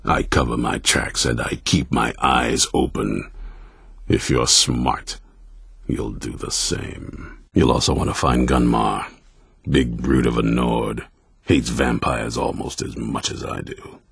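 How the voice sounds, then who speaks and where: An actor playing a grim, distrustful, battle-hardened vampire hunter commander in a video game, with a gruff, blunt, stern delivery and a gravelly, husky voice. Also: male voice, very deep voice, measured pace, fairly bright tone, dry recording, clean studio sound, exaggerated voice. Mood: grim, wary. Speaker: leader of an order of vampire hunters. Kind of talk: video game character dialogue.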